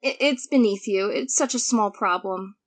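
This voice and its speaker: A woman's voice: medium pitch, normal speed, lightly acted. A woman playing an anxious, defensive twelve-year-old girl in an audio drama, earnest and hesitant. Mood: hesitant, downcast.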